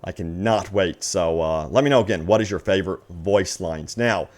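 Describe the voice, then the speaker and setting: A man's voice: deep voice, normal speed, darkish tone. A man hosting a gaming news video in an upbeat, enthusiastic fan commentary style, stretching words for emphasis and addressing his viewers directly.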